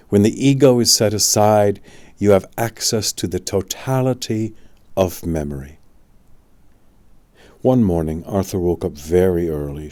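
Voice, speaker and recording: A man reading a spiritual audiobook as scripted narration, with measured, deliberate phrasing. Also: sits low, mellow, no echo.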